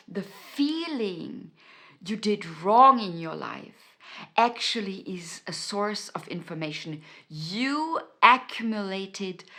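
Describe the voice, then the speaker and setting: An Austrian woman talking straight to her camera, slow and unhurried, pausing to find the right word. Her tone is bright and forward. Her German roots colour each phrase. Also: fairly high pitch. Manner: earnest and searching for words, with many restarts. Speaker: YouTube host. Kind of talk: motivational talk to camera.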